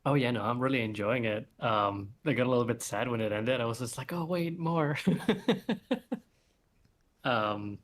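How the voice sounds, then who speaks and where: A man chatting informally as a guest author, thinking aloud in halting bursts. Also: medium pitch, fairly even intonation, a bit bright. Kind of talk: casual chat. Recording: faint background noise. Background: faint ambient noise.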